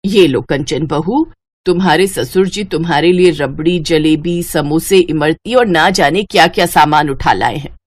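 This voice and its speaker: A woman's voice: sits low, unhurried, voice pushed down. Woman playing a bossy, scheming mother-in-law. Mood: sugary, doting.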